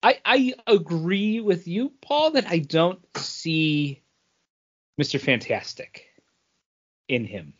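A man's voice: fairly high pitch, animated, takes it slowly, pauses often, lo-fi sound. A man co-hosting a podcast, chatting casually about geek culture and often searching for his words. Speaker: podcast co-host.

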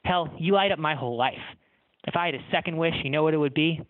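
A man's voice: on the high side, quick pace, no echo, narrow, tinny audio, natural voice. A man voicing a kindly, tender bartender, earnest and reassuring, in a comic story. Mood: earnest, pleading.

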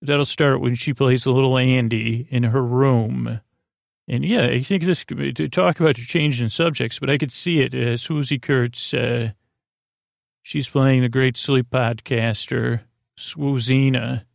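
A man hosting a sleep podcast, rambling in a whimsical, hesitant bedtime monologue with stammered false starts and a creaky, gravelly voice. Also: mid-range voice, fairly even intonation, a little slow, no echo, studio quality.

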